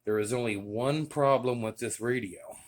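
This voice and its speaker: A man casually showing off his radio gear in a relaxed, enthusiastic way, with many fillers. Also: mid-range voice, moderate pace. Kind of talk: casual show-and-tell vlog.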